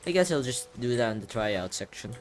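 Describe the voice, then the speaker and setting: A man's voice: mid-range voice, lively intonation, fairly quick. Sarcastic, foul-mouthed man playing a game. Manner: sarcastic, profane, irreverent. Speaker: gamer recording a playthrough. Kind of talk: casual game commentary. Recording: game music behind the voice. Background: video game music.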